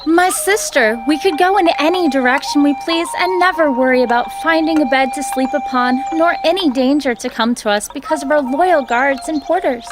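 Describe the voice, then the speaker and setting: A woman playing a haughty, practical young princess in an audio storybook drama, brisk and imperious. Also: sits high, music in the background, close to an everyday voice. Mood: haughty, impatient.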